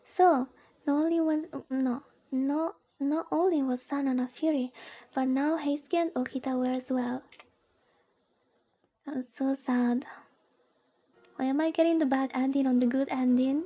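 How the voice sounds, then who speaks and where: High, mellow-voiced woman livestreamer reading aloud. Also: fairly even intonation, measured pace, pauses often. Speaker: streamer reading a visual novel aloud. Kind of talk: casual livestream reading with commentary.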